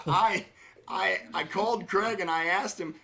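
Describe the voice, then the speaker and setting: A middle-aged man hosting a live train-watching stream, chatting casually in railroad jargon, his voice slightly hoarse from a cold. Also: high voice, unhurried, bright, forceful tone. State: slightly hoarse, under the weather.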